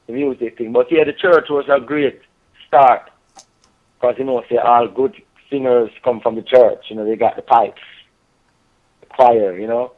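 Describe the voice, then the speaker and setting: A man with a Jamaican accent, a reggae singer being interviewed, talking in a relaxed way with lots of "you know" and "yeah, man". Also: mid-range voice, unhurried, phone-quality sound. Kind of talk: casual interview over a call.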